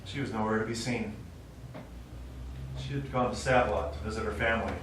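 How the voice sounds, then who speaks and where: An actor playing a stubborn old American war veteran and father, telling his memories haltingly in a stage play. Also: lowish voice, lightly acted. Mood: grim recollection. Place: small theater stage, recorded from the audience. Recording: distant, very echoey theater recording, faint noise.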